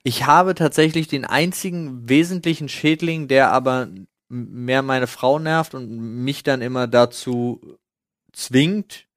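A man's voice: mid-range voice, a little slow, dry recording, studio quality. A man in a casual podcast chat, explaining things in a hesitant way. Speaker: podcast host.

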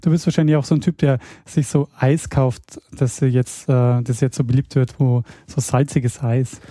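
A man co-hosting a casual podcast chat, relaxed and chatty. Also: medium pitch, moderate pace, dry recording, studio quality. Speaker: podcast co-host.

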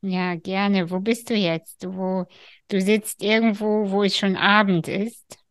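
A woman hosting a podcast, speaking softly and gently, with hesitant fillers in casual chat. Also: medium pitch, flat delivery, takes it slowly, clear, resonant tone, no echo, studio quality. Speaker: podcast host. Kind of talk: calm spoken intro and casual interview.

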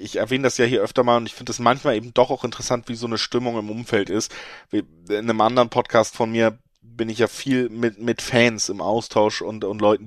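Slightly hoarse man breaking down football. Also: medium pitch, dry recording, clean studio sound. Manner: rambling, self-correcting, emphatic. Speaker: betting podcast co-host. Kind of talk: casual football analysis chat.

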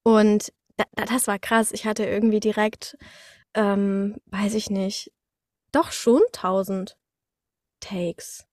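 A young woman chatting casually as a guest, telling anecdotes in a lively, slangy way. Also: mid-range voice, slow, no room sound, studio quality. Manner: animated storytelling with slangy, colloquial wording. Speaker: guest, voice actress.

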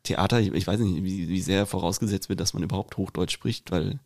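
A man's voice: deep voice, fairly quick, creaky, a little mellow. A man from Rüsselsheim with a Hessian accent, co-hosting and telling stories, often stumbling and repeating words as he starts a thought. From Rüsselsheim, Germany. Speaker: podcast co-host. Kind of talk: casual anecdote telling.

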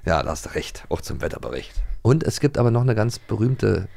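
A man's voice: on the low side, sing-song, brisk, vocal fry, dark tone, no room sound. A man co-hosting a podcast in casual, playful banter, often teasing his partner. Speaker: podcast co-host.

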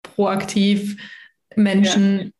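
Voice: mid-range voice, completely flat delivery, extremely slow, darkish tone, reverberant, poor audio. A host in a casual interview chat, earnest and thoughtful, agreeing with the guest.

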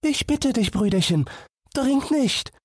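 An anxious little girl from a storybook, as a male narrator puts her on. Her voice is pitched very high and husky. She sounds tender and pleading, devoted to her brother. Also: lively intonation, slow, no echo, studio quality, exaggerated voice.

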